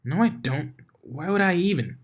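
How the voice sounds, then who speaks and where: Give me a man playing a raspy, high-voiced, bickering tomboy mare. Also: lively intonation, normal speed. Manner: raspy, argumentative.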